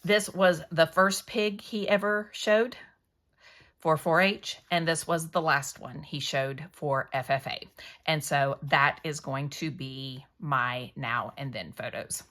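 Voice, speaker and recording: Hoarse woman chatting over her craft desk. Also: low voice, fairly even intonation, takes it slowly, fairly bright tone. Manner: chatty, rambling, self-correcting. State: hoarse from yelling at a game. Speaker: scrapbooking channel host. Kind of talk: casual crafting tutorial narration. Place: home craft table.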